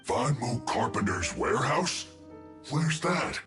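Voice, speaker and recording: A man's voice: mid-range voice, sing-song, takes it slowly, fairly bright tone, echoey room, soft background music. A man playing a hapless, defensive villain's henchman in an anime game, protesting indignantly.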